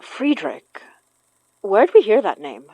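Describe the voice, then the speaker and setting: A woman's voice: mid-range voice, big pitch swings, slow pace, dark tone, husky, no echo, dull audio, full character voice. A woman acting in an audio horror drama as an earnest, knowing spirit medium who blames herself. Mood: thoughtful. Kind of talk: audio fiction podcast acting.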